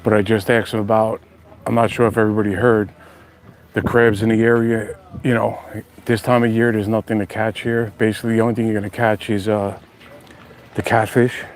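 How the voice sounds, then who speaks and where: A man's voice: on the low side, level tone, a little fast. A man with a New York accent hosting a casual outdoor live stream, chatting and joking with viewers. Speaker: outdoor channel host. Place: outdoors at a beach house.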